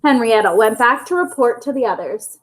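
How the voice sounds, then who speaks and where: A woman reading a children's storybook aloud in an expressive narrating style, as at a school story time. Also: fairly high pitch, brisk.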